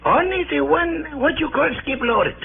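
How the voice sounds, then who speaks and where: A man's voice: very high-pitched, lively intonation, normal speed, gravelly, phone-quality sound, exaggerated voice. An actor playing a proud, boastful old Mexican watchman in a radio drama, with florid, over-polite broken English and a Mexican accent. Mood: defensive, eager. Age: older. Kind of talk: 1950s radio drama acting. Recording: noisy, band-limited old radio recording.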